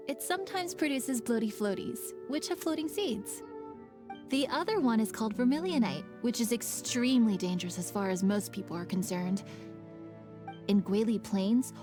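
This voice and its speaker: An actress playing a polite, businesslike young woman who manages a festival project in a video game, explaining things clearly. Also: medium pitch, lightly acted.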